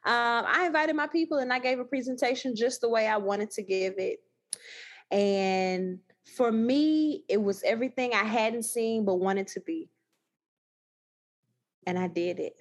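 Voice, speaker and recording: Animated woman with a thick Texas accent. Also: fairly high pitch, measured pace. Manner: animated, emphatic, laughs often. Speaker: guest, new scholar. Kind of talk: casual podcast conversation.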